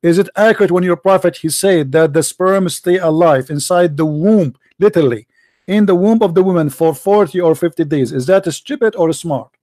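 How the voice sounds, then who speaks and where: A man's voice: high voice, moderate pace, darkish tone. A man whose first language is Arabic, arguing hard in a heated religious debate, cutting in and repeating himself. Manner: combative, interrupting, repeating himself.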